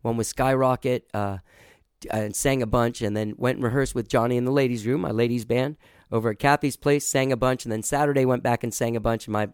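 A man hosting a casual local radio show, hoarse from rehearsing and singing, rambling enthusiastically with lots of fillers and restarts. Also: mid-range voice, fairly even intonation, a little fast, darkish tone, dry recording, clean studio sound. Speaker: show host.

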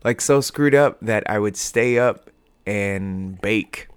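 A man's voice: fairly low pitch, slow, smooth, no room sound. An American man talking casually as a podcast guest, with informal, laid-back phrasing. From United States. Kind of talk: casual conversational interview.

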